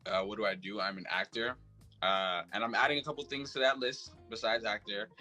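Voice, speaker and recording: A young man from Brooklyn introduces himself offhandedly on a video call. His low voice has a bright, forward edge, with faint music underneath. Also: fairly even intonation, fairly quick. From Brooklyn, New York. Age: 20s. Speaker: guest. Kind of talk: casual self-introduction.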